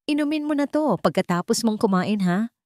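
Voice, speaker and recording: A woman's voice: medium pitch, animated, brisk, a little mellow, no echo, clean studio sound, voice pushed up, lightly acted. A woman with a Filipino accent voicing a poor, protective young man, an elder brother, in an earnest, tender way for an audio drama. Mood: caring.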